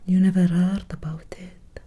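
A young woman playing a courteous, faintly menacing Victorian vampire doctor in a breathy whispered ASMR roleplay, speaking formally and deliberately. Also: on the low side, flat delivery, warm tone. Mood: calm, faintly menacing. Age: young adult. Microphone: close sensitive microphone.

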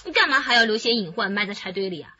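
An actress playing an inquisitive, outspoken police detective in a radio drama, questioning and expressive. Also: female voice, medium pitch, racing, a little hiss or hum. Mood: curious, reasoning. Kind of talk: Chinese radio drama acting. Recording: muffled radio drama recording.

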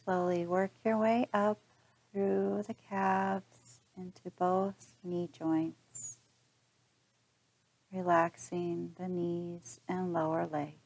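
Slow, low-pitched woman guiding meditation. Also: creaky, low-quality recording. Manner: calm, gentle instruction with long pauses. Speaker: meditation guide. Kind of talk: guided body scan meditation narration.

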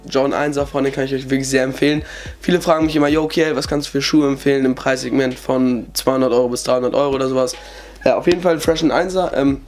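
A man's voice: mid-range voice, darkish tone. A young man with a cold, chatting casually through his sneaker collection at a desk indoors, full of fillers. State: has a cold. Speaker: sneaker vlogger. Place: indoor bedroom with shoe shelves.

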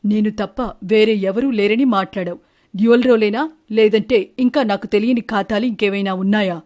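Wronged husband bird for a cartoon moral tale, played by a woman, mid-pitched, probing and confrontational. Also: fairly even intonation, normal speed, darkish tone, natural voice. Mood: angry. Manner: confrontational, questioning. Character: suspicious, wronged male bird husbands and lovers, accusing. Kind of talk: animated Telugu moral-story dialogue.

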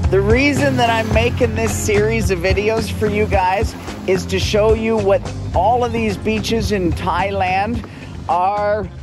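Man in his forties, chatty travel vlogger, slightly high voice, outdoors on a beach, clear music underneath. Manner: upbeat, chatty, repeats words. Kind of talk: walking tour vlog. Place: outdoors on a tropical beach and boardwalk. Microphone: selfie camera at arm's length.

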